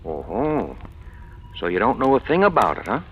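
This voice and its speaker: A man's voice: lowish voice, very animated, normal speed, a bit bright, a little hiss or hum. A man playing a sardonic, wisecracking driver for a crime boss, in a 1940s radio noir style. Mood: casual, curious. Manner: wisecracking, slangy, laconic. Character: wry, sardonic hired driver for a small-town crime boss. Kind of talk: 1940s radio noir acting with first-person narration. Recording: dull old radio recording, music behind.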